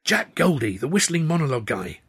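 A man voicing a prim young woman stage assistant who scolds in a hushed whisper. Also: on the high side, very animated, brisk, breathy edge, pitched above the performer's own voice, exaggerated voice. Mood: hissing.

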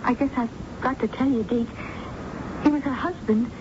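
An actress playing an earnest, anxious young daughter, halting and emotional, in a 1970s radio drama. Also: female voice, sits high, close to an everyday voice. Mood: uneasy, hesitant. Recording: muffled, noisy 1970s radio broadcast.

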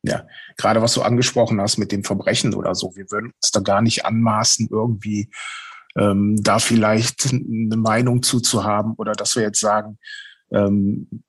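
Husky-voiced man explaining casually. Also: on the low side, flat delivery, moderate pace. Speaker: guest, paranormal investigator.

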